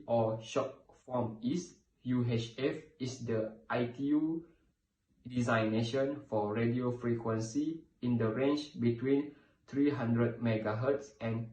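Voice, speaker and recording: A young man presents a student project from a script. His delivery is formal and careful, at a middling pitch. The room adds a clear echo around his voice. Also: darkish tone. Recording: echoey room sound.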